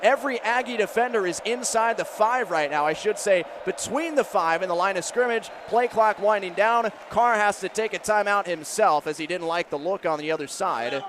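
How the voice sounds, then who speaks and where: Male American radio football announcer, high voice, detailed play-by-play, clear stadium noise behind. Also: moderate pace, few pauses. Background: loud outdoor stadium ambience.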